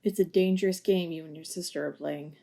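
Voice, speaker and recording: A woman's voice: fairly low pitch, fairly even intonation, fairly quick, creaky, mellow. A woman playing a cold, calculating blackmailer who talks in a matter-of-fact way in an amateur audio drama.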